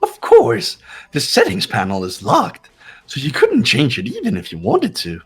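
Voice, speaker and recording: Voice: mid-range voice, wildly swooping pitch, moderate pace, creaky, fairly bright tone, breathy edge, soft background music, voice pushed up, heavily acted. A performer voicing a gleeful, sinister robot bear mascot in a fan-voiced game, taunting in a mock-cute way. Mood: bossy teasing.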